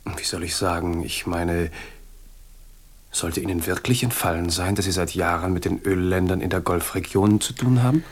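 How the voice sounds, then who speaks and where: An actor in a German radio drama playing a young but sedate English butler, formal, deferential and dryly discreet. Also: male voice, low-pitched, steady tone, normal speed, breathy edge. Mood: discreet, insistent.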